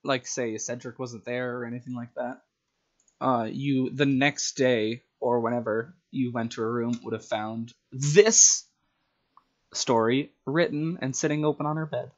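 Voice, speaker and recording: A man's voice: mid-range voice, fairly even intonation, unhurried. Dull lo-fi man chatting through stories. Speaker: storyteller and tabletop game player. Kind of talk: casual chat recounting story ideas. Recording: dull, lo-fi sound.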